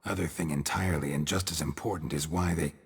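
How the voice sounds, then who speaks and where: An actor playing a stoic, unflappable monster hunter in a video game, gravelly and laconic, with clipped deadpan lines. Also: male voice, extremely low pitch, quick pace, long reverb tail, full character voice. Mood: calm, deadpan. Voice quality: gravelly, raspy. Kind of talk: understated video game acting.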